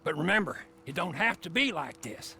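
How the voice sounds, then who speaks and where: A man's voice: a little high, animated, normal speed, vocal fry, bright, forceful tone, a little hiss or hum, heavily acted. An actor playing a sardonic, ominous old man in a Western game, moralising and taunting. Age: older. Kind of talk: game cutscene acting.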